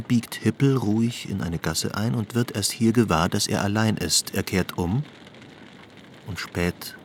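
A man's voice: on the low side, a little hiss or hum. A man reading scripted literary narration for a radio drama.

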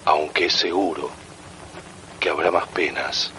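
A man recites poetry in a low, forceful voice. He takes it slowly. Faint rain-like noise sits behind him. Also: poor audio.

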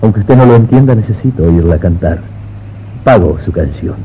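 A man's voice: on the low side, lively intonation, normal speed, natural voice. An actor playing an imperious, romantic wealthy man in 1950s radio drama style, intense and commanding. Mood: insistent, yearning. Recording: muffled noisy 1950s radio recording.

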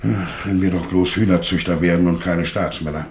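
An actor in a radio play playing a proud but reluctant Germanic prince and warlord, earnest and declamatory. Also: male voice, sits low, steady tone, moderate pace. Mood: regretful resolve. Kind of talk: theatrical radio play dialogue.

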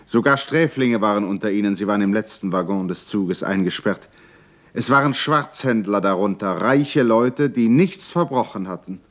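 A man narrating a literary radio play in a measured, dramatic manner with deliberate pauses. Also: lowish voice, expressive.

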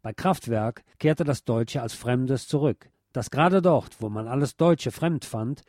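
A man presenting a scripted cultural history piece in a measured, articulate, academic manner. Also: mid-range voice, darkish tone, no room sound, studio quality. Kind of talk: scripted essayistic narration on music history.

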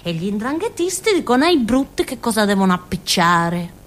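An actress performs a simple-minded young woman from a village, speaking with a Calabrian accent. Her pitch is mid-range for a woman but swoops up and down freely. She rambles and confides like a child, punctuating her theatrical monologue with small grunts and tag questions. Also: big pitch swings, normal speed, natural voice. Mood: naive, secretive. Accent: southern Italian, Calabrian. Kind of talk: theatrical first-person monologue in colloquial dialect-tinged Italian.

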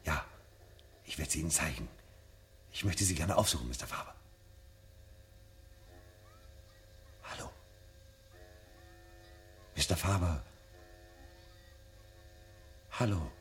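A low-voiced man in a radio play, earnest and politely urgent. His intonation moves a great deal as he presses and probes. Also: animated, moderate pace, long pauses, a little hiss or hum. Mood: secretive, urgent. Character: earnest, insistent man seeking forbidden knowledge. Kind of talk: German radio drama acting.

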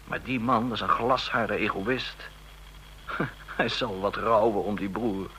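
An actor playing an ambitious, jealous young counter-espionage agent in a radio drama, insistent and sceptical in manner. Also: male voice, lowish voice, steady tone, takes it slowly, pushed and bright, slight background noise, rough recording. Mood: suspicious, jealous. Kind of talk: Dutch radio drama acting.

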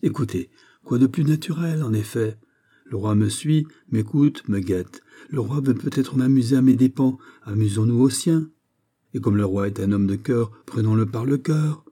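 Male reader as an imperious king, wounded pride, biting sarcasm, dark husky tone, period novel. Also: mid-range voice, moderate pace, close to an everyday voice. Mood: bitter mockery. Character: proud, wounded king, bitterly mocking and imperious.

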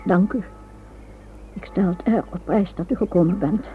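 A woman's voice: fairly low pitch, normal speed, lightly acted. An actress playing a bereaved, gracious English lady in a radio drama, formal and polite. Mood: subdued, grateful. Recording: old narrow-band radio recording.

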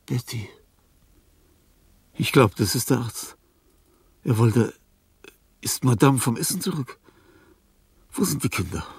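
An actor playing a dying, lonely old artist in a radio drama, confused and weary, halting and often sinking into whispers. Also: male voice, mid-range voice, creaky, slightly rough, no room sound, far from the mic, full character voice. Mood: anxious, searching, then forlorn, confused. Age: older. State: weak, weary. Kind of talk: radio drama acting, interior monologue.

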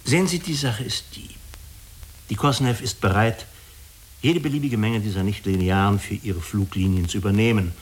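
A man playing a diplomatic, persuasive agency official in a German radio drama, speaking formally and deliberately with small hesitant pauses. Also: on the low side, natural voice. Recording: clearly hissy radio recording.

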